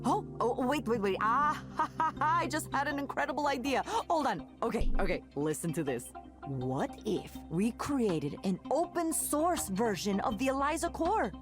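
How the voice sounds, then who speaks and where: A woman's voice: a little high, very animated, moderate pace, music in the background. An actress playing an eccentric, passionate reclusive woman musician in a video game, musing and effusive. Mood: excited, visionary.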